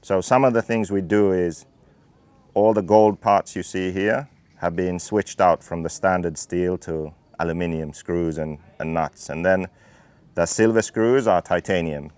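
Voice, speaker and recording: A man in his thirties from Finland, a racing team owner calmly explaining his car in technical detail. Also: deep voice, unhurried, no room sound. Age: 30s. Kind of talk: interview talk about his car.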